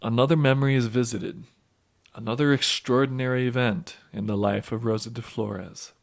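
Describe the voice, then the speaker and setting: A man acts as the solemn narrator of an audio drama. His scripted storytelling has a gravelly creak at a medium male pitch. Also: steady tone, moderate pace, close to an everyday voice.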